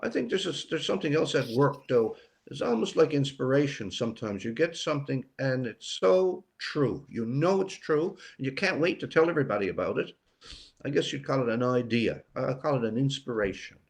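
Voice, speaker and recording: An older man from Newfoundland, a writer being interviewed, speaking reflectively with pauses and repeated words for emphasis. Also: medium pitch, animated, creaky. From Newfoundland, Canada.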